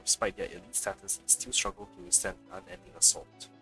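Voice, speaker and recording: A man's voice: low voice, sing-song, fast, gravelly, bright, forceful tone, faint music behind. A man doing casual let's play commentary and reading game text aloud as a streamer.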